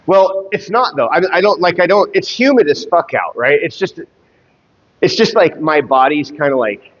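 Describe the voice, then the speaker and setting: A man's voice: a little high, animated. Congested man chatting on a livestream. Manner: rambling, halting, with many fillers. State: congested, stuffed up. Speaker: fitness streamer. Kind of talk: casual livestream chat. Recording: muffled sound with long reverb.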